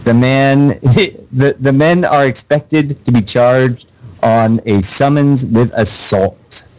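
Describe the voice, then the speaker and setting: A man's voice: mid-range voice, slow pace, darkish tone, poor audio. A man co-hosting a casual radio show, reading news items in a dry, hesitant way with lots of fillers.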